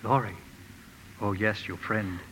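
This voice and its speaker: Earnest, deep-voiced kindly professor. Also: male voice, fairly even intonation, slight background noise. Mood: tender, fervent. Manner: gentle, formal, deliberate phrasing. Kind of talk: 1950s radio drama acting. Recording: old radio broadcast, reverberant, music behind.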